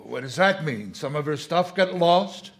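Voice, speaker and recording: Haunted bereaved husband played by a male actor, terse and insistent, higher-pitched voice, radio drama. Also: animated, measured pace. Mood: alarmed disbelief. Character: grief-broken, haunted working man, quiet but menacing. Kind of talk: live audio drama acting.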